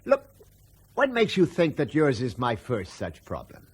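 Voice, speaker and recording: An actor playing a pragmatic, persuasive aviation company boss in a radio drama, condescending and forceful, with a husky voice. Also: male voice, mid-range voice, wildly swooping pitch, a little slow, slight background noise. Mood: assured, goading.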